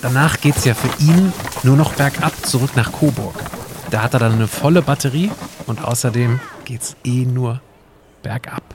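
A man playing a calm, knowledgeable time traveler in an amateur audio drama, explaining things conversationally. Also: medium pitch, normal speed, background noise, close to an everyday voice. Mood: explanatory.

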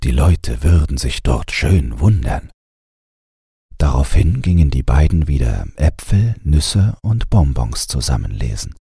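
A man reading a scripted bedtime audiobook in a calm storytelling style. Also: extremely low pitch, a little slow, vocal fry, breathy edge, dry recording, studio quality. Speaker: audiobook narrator.

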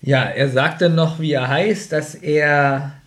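A man's voice: fairly high pitch, steady tone, slow, fairly bright tone. A man co-hosting a podcast in casual, conversational chat, chiming in with opinions and corrections on an audio drama. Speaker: podcast co-host.

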